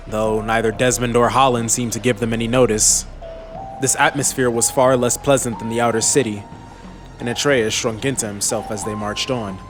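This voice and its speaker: Male storyteller, middle register, scripted fantasy audiobook narration, light music bed. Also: medium pitch.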